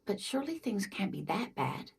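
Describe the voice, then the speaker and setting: A woman voicing a kindly, scatterbrained older married woman in a video game, gentle and doting, with music behind her. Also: medium pitch, big pitch swings, moderate pace, vocal fry, breathy edge, exaggerated voice. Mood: gentle, nostalgic. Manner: gentle, doting, hesitant, calls listener dear. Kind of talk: video game dialogue acting.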